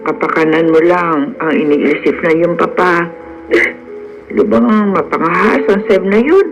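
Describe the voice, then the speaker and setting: An actress playing an elderly, protective household servant who scolds and admonishes in a radio drama. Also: female voice, fairly low pitch, a little slow, vocal fry, dull audio, faint music behind. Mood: stern, admonishing. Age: older.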